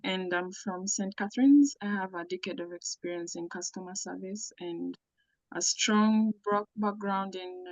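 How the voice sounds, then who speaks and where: A woman from South Sudan introducing herself on a video call, soft-spoken and steady. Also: mid-range voice, measured pace, no echo. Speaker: event attendee, job seeker. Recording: dull lo-fi call audio.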